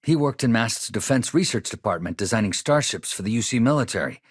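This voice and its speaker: A man's voice: medium pitch, lively intonation, a little fast, breathy edge, no room sound, studio quality. An actor playing an earnest, anxious male spaceship engineer in a video game, polite and hesitant in manner. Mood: reflective, explaining. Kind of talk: game dialogue, companion voice lines.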